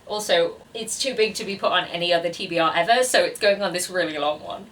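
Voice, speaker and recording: A woman's voice: lowish voice, quick pace, distant mic, slight background noise. A woman in her twenties chatting casually to camera as a book vlogger, with a self-deprecating, chatty manner. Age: 20s.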